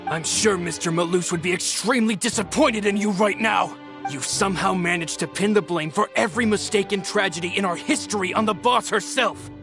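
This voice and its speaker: A man's voice: high voice, fairly quick. A man voicing a loyal male subordinate in a game cutscene, urgent and earnest. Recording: game audio with loud music.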